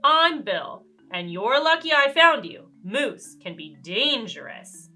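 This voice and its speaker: A woman voicing a calm, knowing young outdoorsy boy in a children's storybook, measured and instructive. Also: on the high side, faint music behind, full character voice. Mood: confident, helpful.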